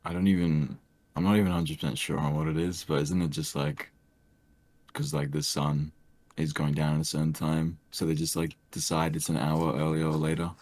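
A young man with a soft, soothing voice, chatting hesitantly as a podcast guest with many fillers. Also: very deep voice, level tone, moderate pace, no room sound. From Australia. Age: young adult. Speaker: streamer guest. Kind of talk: casual podcast chat.

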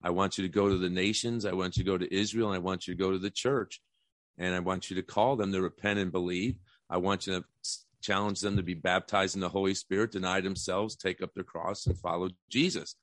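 A man quoting a solemn, commanding divine voice, lower and softer than his usual talk. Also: fairly even intonation, brisk, no room sound.

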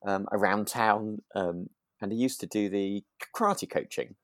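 A man co-hosting a relaxed podcast, agreeable and a little rambling and hesitant as he talks. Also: fairly low pitch, measured pace, dry recording, clean studio sound. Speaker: podcast co-host. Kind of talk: relaxed casual discussion of a radio drama.

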